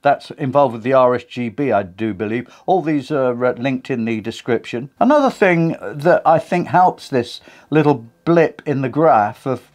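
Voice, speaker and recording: A man's voice: mid-range voice, darkish tone. A middle-aged British man in his fifties, hosting a casual talk to camera about radio, recorded on a lapel mic in a small home studio. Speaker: video host. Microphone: clip-on lapel mic.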